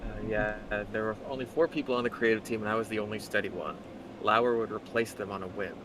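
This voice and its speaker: Low-pitched blunt man, noisy recording. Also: fairly even intonation, fast. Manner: blunt, sardonic, swears freely. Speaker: co-host reading a story aloud. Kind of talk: casual commentary.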